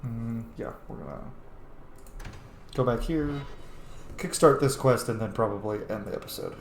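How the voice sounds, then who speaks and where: A man's voice: medium pitch, moderate pace. Guy streaming a game, casual muttering commentary, echoey room, noisy game sounds. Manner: muttering to himself. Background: video game ambience.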